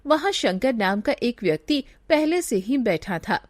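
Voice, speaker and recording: A woman's voice: a little high, sing-song, measured pace. A woman giving scripted narration of a story as its narrator.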